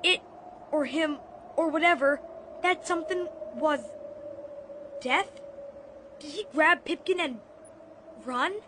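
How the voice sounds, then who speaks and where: A woman's voice: sits high, slow, pauses often, noisy background. A woman playing an anxious, earnest young boy in an audio drama, with breathless, urgent delivery. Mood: frightened, grieving. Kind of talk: full-cast audio drama acting. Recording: music behind the voice.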